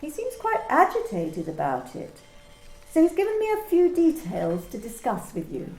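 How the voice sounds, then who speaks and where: An amateur actress playing a formal, officious female workplace manager in a radio play, measured and precise. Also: high voice, huge pitch swings, darkish tone, reverberant, full character voice, poor audio.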